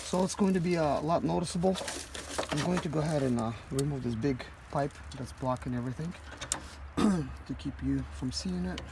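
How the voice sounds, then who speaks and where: Hoarse male mechanic, 30s, gravelly voice, outdoor phone recording with noise behind. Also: fairly high pitch, normal speed. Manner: thinking aloud while working, many fillers. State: hoarse, losing his voice. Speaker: mobile mechanic. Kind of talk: casual how-to vlog. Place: outdoors in a rural yard by a van. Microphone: phone camera held close.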